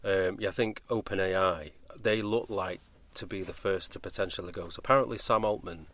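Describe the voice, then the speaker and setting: A man's voice: low-pitched, steady tone, a little fast, a bit bright. A man co-hosting a casual podcast conversation, speaking in an emphatic, opinionated way. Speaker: podcast co-host.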